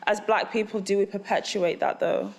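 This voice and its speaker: A woman's voice: medium pitch, steady tone, moderate pace. A woman speaking thoughtfully in a casual panel discussion. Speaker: panel host.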